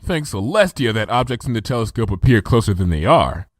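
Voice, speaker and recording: A man narrating a comic fan story in a lively storytelling style. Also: medium pitch, a little fast, slight background noise. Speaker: fanfiction reader. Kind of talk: dramatic audiobook narration.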